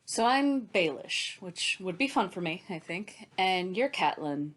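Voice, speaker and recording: A woman's voice: lowish voice, lively intonation, a little slow, fairly bright tone. A woman in a casual book discussion, playfully laying out scenes as she makes her point. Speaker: podcast co-host.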